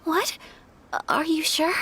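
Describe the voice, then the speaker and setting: A woman voicing a polite, gentle, earnest young noblewoman in a video game, speaking in a courteous, hesitant way. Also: extremely high pitch, steady tone, slow pace, slight background noise, heavily acted. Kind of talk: video game character dialogue.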